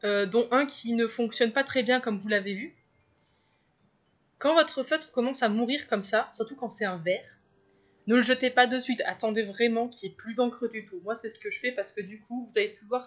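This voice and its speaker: A woman's voice: on the high side, normal speed, muffled recording. A woman chatting casually as she talks through her illustration, rambling into asides and laughing often. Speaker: illustrator and video host. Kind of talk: casual drawing commentary.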